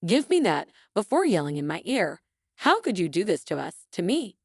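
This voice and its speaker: A woman's voice: on the low side, very animated, normal speed, dry recording, studio quality. A woman voicing an aggrieved elderly mother-in-law in a story, indignant and accusing.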